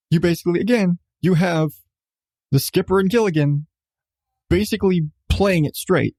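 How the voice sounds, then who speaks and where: A man hosting a podcast, giving a casual, rambling review with halting starts, repeated phrases and an irreverent, sweary manner. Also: on the high side, a little mellow, no room sound.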